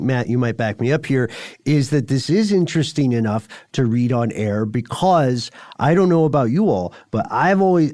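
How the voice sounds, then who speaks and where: A man's voice: mid-range voice, darkish tone, dry recording, studio quality. A man hosting a podcast in casual conversation, wordy and discursive as he feels out his phrasing. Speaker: podcast host.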